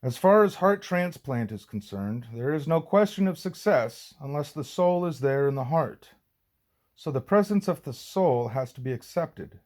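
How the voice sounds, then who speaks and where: A man reading a formal religious text aloud as audiobook narration, with deliberate, measured phrasing. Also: on the high side, expressive, darkish tone. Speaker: reader of a spiritual text.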